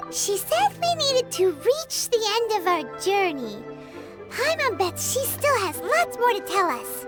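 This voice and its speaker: A woman voicing a tiny, excitable female fairy companion who talks about herself in the third person, in a video game. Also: exaggerated voice. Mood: encouraging. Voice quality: high, squeaky, bubbly. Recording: game audio with loud background music.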